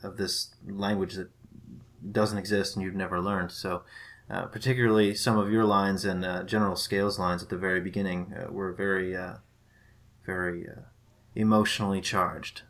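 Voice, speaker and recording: A man interviewing a voice actress, speaking hesitantly with many pauses. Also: deep voice, level tone, fairly bright tone. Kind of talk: interview questions.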